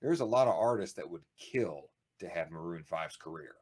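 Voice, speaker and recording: Casual co-host, male, lower voice with a lot of swing in it, talks in loose restarting bursts. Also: normal speed, breathy edge. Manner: rambling, animated, frequent restarts and laughs. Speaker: podcast co-host. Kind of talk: casual chat.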